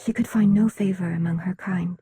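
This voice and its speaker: An actress playing a solemn, secretive mystic in a game cutscene, husky and breathy, speaking in a measured, formal way. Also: female voice, lowish voice, fairly even intonation, mellow, dry recording. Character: solemn mystic seer, grave and secretive woman. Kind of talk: dramatic video game cutscene acting.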